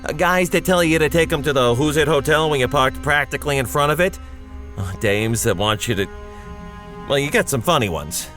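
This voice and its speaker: An actor playing a talkative, wary, working-class cab driver in a radio drama, with slangy, blunt, folksy phrasing. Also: male voice, mid-range voice, expressive, brisk, fairly bright tone, faint music behind, lightly acted. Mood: wry storytelling. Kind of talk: old-time radio drama acting and narration.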